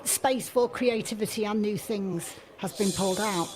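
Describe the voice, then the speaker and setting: A woman's voice: medium pitch, normal speed, a crowd in the background. A woman hosting a radio interview, conversational and encouraging towards the people she is talking with. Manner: encouraging, appreciative.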